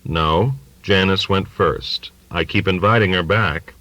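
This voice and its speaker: A weary son , played by a male audiobook reader, speaks in a very low, firm voice. He is guarded and plain, a working man who holds back as he talks. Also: takes it slowly, pushed and bright, no echo, full character voice. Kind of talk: audiobook character dialogue.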